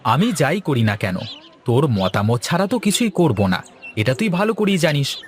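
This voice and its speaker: Man voicing an animated village shopkeeper brother. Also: a little high, moderate pace, a little hiss or hum. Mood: considerate. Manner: expressive, agreeable. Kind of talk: Bengali cartoon voice acting.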